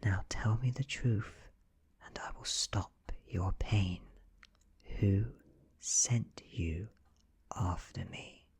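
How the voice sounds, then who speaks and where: A man with a British accent voicing an ancient female vampire from a horror audiobook, gentle, coaxing and coldly menacing. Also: on the low side, drawn-out words, pauses often, husky, full character voice. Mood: coaxing interrogation. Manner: soft, coaxing, whispery. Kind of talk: ASMR audiobook reading.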